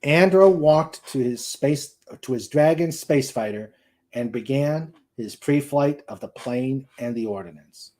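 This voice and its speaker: Male reader for dramatic novel narration, soft-edged mellow tone, mid pitch, careful delivery. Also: measured pace. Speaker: host reading a novel aloud. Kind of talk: dramatic audiobook-style narration.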